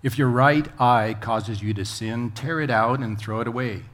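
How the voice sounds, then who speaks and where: A man's voice: mid-range voice, normal speed, a bit bright. A man reading a Bible passage aloud as a scripture reader. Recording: faint background ambient noise.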